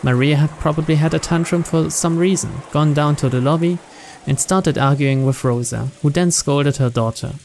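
A man's voice: a little high, normal speed, hardly any pauses, darkish tone, slight background noise. A man reading visual novel narration aloud in a storytelling style. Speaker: narrator, let's player.

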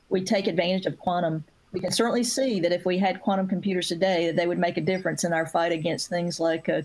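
A middle-aged woman speaking earnestly on a video-call panel, measured with some fillers. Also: on the low side, a little mellow, low-quality recording. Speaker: industry panelist. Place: home room.

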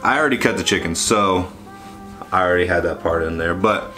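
A man's voice: deep voice, fairly quick, over music. A young man chatting casually through a cooking how-to, rambling and correcting himself as he films with a handheld camera in a small home kitchen. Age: young adult. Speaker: vlogger. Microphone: handheld camera at arm's length.

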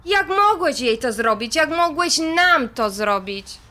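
A high-voiced, defiant daughter in an audio drama. Her tone is sharp and bright, and she is sarcastic and impulsive, always ready to confront. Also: big pitch swings, measured pace, heavily acted. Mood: angry, reproachful.

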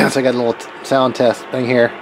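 A man's voice: medium pitch, fast, over music, low-quality recording. An American man giving a casual, rambling hands-on demonstration, tentative and informal as he thinks aloud. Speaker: hobbyist video maker demonstrating a radio setup. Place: open garage workbench. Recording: steady ambient noise, home garage camera audio.

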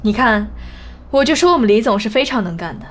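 A woman's voice: fairly high pitch, expressive, brisk, a little hiss or hum, lightly acted. A woman playing a composed, diplomatic executive in an audio drama, polished and placating. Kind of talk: Chinese audio drama acting.

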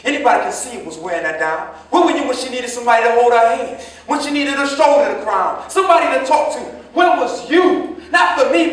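An actor playing a hurt, defiant grown son, pleading and accusing in a staged play reading. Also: male voice, very high-pitched, fairly bright tone. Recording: echoey hall, distant microphone.

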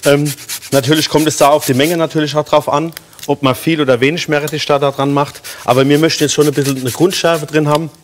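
A man's voice: fairly high pitch, little pitch movement, fast, a little hiss or hum. A German man in his thirties, a chef explaining a recipe step by step in a TV studio kitchen, with many fillers. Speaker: guest chef. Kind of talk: cooking demonstration chat.

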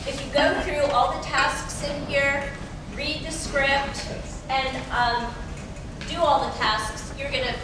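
A woman going over school procedures with staff, chatty and full of fillers. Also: sits high. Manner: chatty, self-deprecating, many fillers. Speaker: school administrator presenting to staff. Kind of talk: staff meeting presentation. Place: large auditorium. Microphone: handheld microphone, recorded by a distant camera. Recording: echoey hall from far off with noise.

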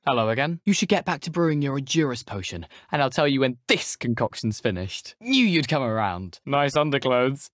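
A man's voice: mid-range voice, dry recording, studio quality, close to an everyday voice. A young man with a British accent playing an eager, cheeky teenage wizard schoolboy in a video game, chatty, persuasive and self-assured. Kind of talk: video game character dialogue.

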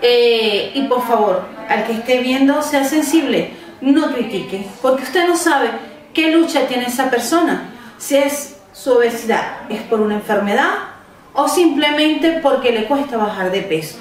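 A woman's voice: on the high side, animated, measured pace, slight background noise. A woman from Venezuela, a workshop participant, giving a short, earnest and pleading spoken testimony. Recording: echoey room, mic far off.

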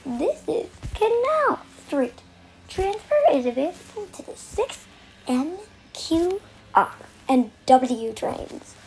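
Female transit announcer, extremely high pitch, unhurried, scripted clipped phrasing, faint background noise. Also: sing-song. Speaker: train announcer. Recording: noisy recorded train announcements.

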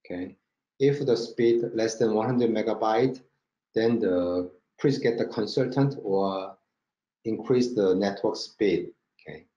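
Korean accent guy doing a slide webinar, halting english, soft mellow tone, dull roomy audio. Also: fairly low pitch. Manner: halting non-native English with frequent check-in words. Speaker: company marketing director presenting a webinar. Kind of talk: product presentation over slides. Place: indoor room, online webinar with screen share.

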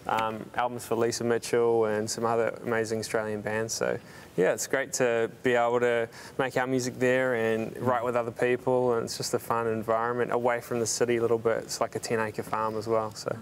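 A man's voice: mid-range voice, little pitch movement, brisk, a little hiss or hum. A man in his thirties with a New Zealand accent, a band member chatting casually as a guest in a TV news studio, rambling and laughing easily. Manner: easygoing, rambling, laughs often. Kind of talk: casual TV interview chat.

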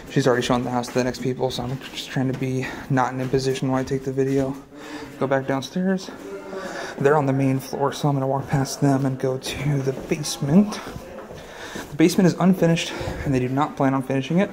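A man's voice: medium pitch, flat delivery, a little fast, background noise. A man walking through a house with a phone camera, casually pointing out the rooms as he goes. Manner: matter-of-fact, pointing out rooms as he walks. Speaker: house tour guide. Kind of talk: casual walkthrough narration. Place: large unfinished house, indoors. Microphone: handheld phone camera.

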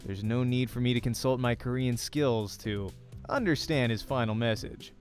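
Man, let's play streamer, expressive story read-aloud, mid-range voice, quiet background music. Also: medium pitch, moderate pace. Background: faint music.

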